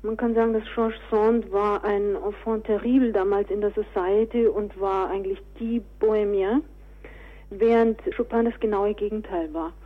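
A woman's voice: medium pitch, fairly even intonation, moderate pace, narrow, tinny audio. A woman answering interview questions conversationally as an author and director, on a thin radio recording.

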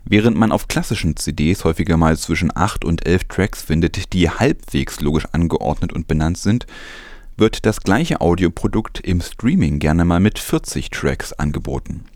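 A man's voice: deep voice, animated, moderate pace, a little mellow, no echo, studio quality. A man presenting scripted news with some commentary.

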